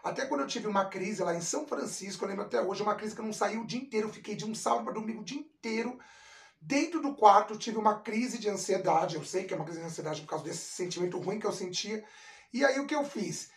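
A man's voice: high voice, expressive, quick pace. A middle-aged man with a Brazilian accent talks casually to the camera in a candid, reflective, explanatory way. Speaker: vlog host, chef. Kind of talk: casual personal talk to camera. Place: small indoor kitchen. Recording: echoey room, distant microphone.

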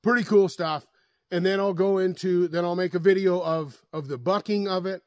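A folksy middle-aged man talks in a slightly high voice while standing outdoors in snowy woods, recorded on a helmet-mounted camera. The sound is dry and close, and he tends to repeat phrases and tag "right?" onto his points. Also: fairly even intonation, normal speed, no echo, lo-fi sound. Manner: folksy, repeats himself, asks the viewer "right?". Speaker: chainsaw vlogger. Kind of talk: casual outdoor show-and-tell. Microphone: helmet-mounted action camera.

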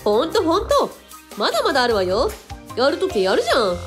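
A woman's voice: sits high, wildly swooping pitch, a little fast, over music. A woman playing a playful female show host who coaxes and eggs others on in a comic radio drama. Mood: excited, encouraging. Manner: bubbly, coaxing, exclamatory.

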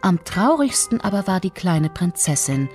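Low-pitched woman narrating a fairy tale. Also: very animated, normal speed, a little mellow, soft background music. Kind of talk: scripted storybook narration.

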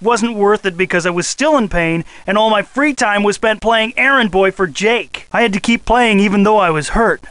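A man voicing a cocky, aggressive high school football star in a video game, boastful and swaggering. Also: normal speed, few pauses, fairly bright tone, clean tone, no room sound. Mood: guarded. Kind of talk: scripted video game voice acting.

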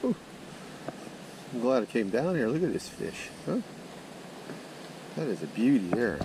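A middle-aged man giving casual, excited commentary while fishing outdoors by a creek, recorded on a head-mounted action camera. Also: medium pitch. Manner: excited exclamations, folksy talk. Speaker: fishing vlogger. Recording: outdoor action camera with loud water noise. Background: rushing water noise.

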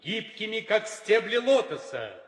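An actor playing a formal royal herald, making ceremonious proclamations in a 1960s theatrical audio drama. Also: male voice, high voice, big pitch swings, a little slow. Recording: echoey hall reverb.

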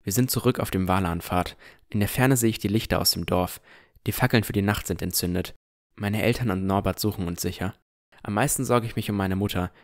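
A man's voice: lowish voice, brisk. A man narrating a fantasy audio drama in the first person from a script.

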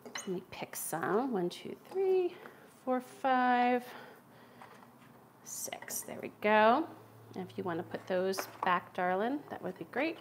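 A woman's voice: medium pitch, very animated, slow, slight background noise. A middle-aged woman with a Philadelphia accent hosting a live cooking stream from a large home kitchen, chatting and laughing as she cooks, picked up by a camera across the room. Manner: chatty, laughs often, talks while cooking. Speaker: cooking show host. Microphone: far camera.

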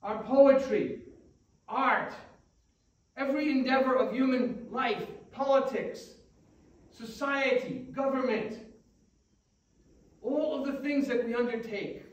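Older american priest, high-ish voice, slow pauses, church echo from far off. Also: male voice, muffled recording. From United States. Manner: measured, thoughtful, with frequent pauses and "uh" fillers. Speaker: Orthodox priest. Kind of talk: sermon. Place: large church sanctuary, indoors. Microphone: far camera.

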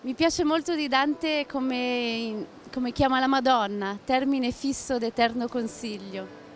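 A woman's voice: high voice, takes it slowly, a little hiss or hum. A young woman being interviewed at an exhibition stand, giving brief, simple answers. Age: young adult.

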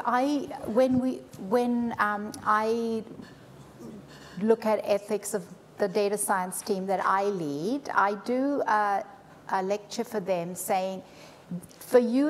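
A woman's voice: medium pitch, slight background noise. A middle-aged woman lecturer answering audience questions, speaking thoughtfully with some hesitation. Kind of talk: public lecture Q&A. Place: lecture theatre. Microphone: lapel mic. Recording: long reverb of a lecture hall.

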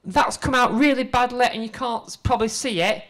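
A middle-aged woman giving an informal conference presentation into a handheld microphone, conversational, halting and self-deprecating. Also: on the high side, fairly bright tone. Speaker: conference speaker, museum exhibit developer. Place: indoor presentation room before a seated audience.

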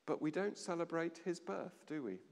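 A middle-aged man giving a sermon at a lectern in a large stone church, measured, with dramatic pauses and a voice that drops low. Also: lively intonation, vocal fry, darkish tone. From England. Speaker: vicar. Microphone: standing at a lectern, far camera. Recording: long church reverb.

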